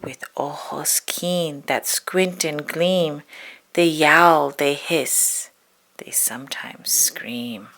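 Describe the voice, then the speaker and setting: A woman storyteller with a low, creaky voice reads a spooky children's poem at a very slow pace. She draws out her words dramatically and slips into hushed whispers. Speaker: storybook reader.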